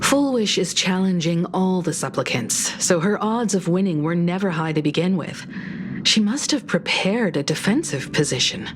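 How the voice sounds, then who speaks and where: A woman's voice: fairly low pitch, expressive, normal speed, close to an everyday voice. A woman playing a serious, vigilant, level-headed ally in a video game, composed and cautioning. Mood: calm, wary. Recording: loud music behind the voice.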